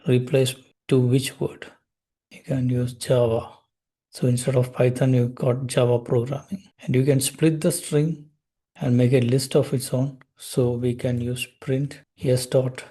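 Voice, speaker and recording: A young man, slowly explaining code step by step as he types in a tutorial. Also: medium pitch, mellow, breathy edge. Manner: slow, step-by-step explaining while typing code. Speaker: programming tutor. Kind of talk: screen-recorded coding tutorial.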